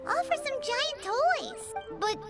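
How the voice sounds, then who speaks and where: Tiny fairy companion, chatty, bossy, very high, sing-song, game soundtrack behind. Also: female voice, takes it slowly, heavily acted. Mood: exasperated. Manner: chirpy, excitable, speaks of herself by name. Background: game music.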